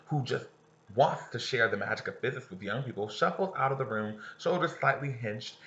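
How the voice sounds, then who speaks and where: A male teacher reads a story aloud with a lively, swooping voice that rises and falls through each sentence. His tone is bright and forward, and he keeps breaking off to explain passages and put questions to his students. The recording sounds dull and lo-fi. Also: mid-range voice, gravelly. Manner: animated, stops to explain and ask questions. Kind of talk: reading a novel aloud to students with commentary.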